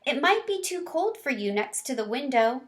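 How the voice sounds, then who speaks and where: A woman's voice: sits high, sing-song, normal speed, pitched above the performer's own voice. A woman voicing a kind, patient female schoolteacher who explains things attentively in a storybook.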